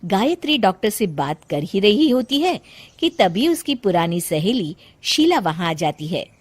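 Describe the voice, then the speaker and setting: A woman's voice: mid-range voice, very animated, measured pace, slightly rough, no room sound. A woman narrating a scripted comic story as its storyteller.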